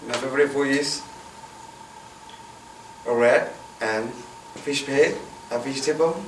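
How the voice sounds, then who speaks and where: A man from Thailand, a student, telling a personal story in halting, simple learner's English. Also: medium pitch, slight background noise. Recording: echoey room from a far mic.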